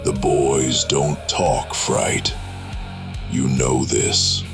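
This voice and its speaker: Deep, hypnotic vampire wrestler. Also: male voice, fairly even intonation, music in the background, full character voice. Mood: quiet warning. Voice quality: soft, gentle yet heavy. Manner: slow, hypnotic, ominous. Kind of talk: supernatural noir audio drama acting.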